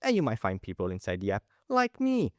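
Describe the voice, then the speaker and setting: A man's voice: lowish voice, huge pitch swings, a little fast, no echo, clean studio sound. A young man from Italy hosting a podcast, talking casually and enthusiastically, rambling through restarts and fillers. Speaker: podcast host. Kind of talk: casual interview chat.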